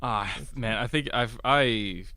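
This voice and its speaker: A man's voice: medium pitch, takes it slowly, sharp tone. A young man speaking casually as a panelist on a podcast. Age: young adult. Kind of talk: casual chat. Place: college radio studio.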